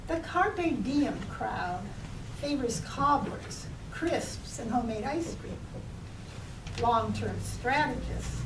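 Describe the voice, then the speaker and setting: High-voiced woman reading poetry, roomy and distant. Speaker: poet reading own work. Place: public library reading room. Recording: roomy, distant mic, noisy with rumble.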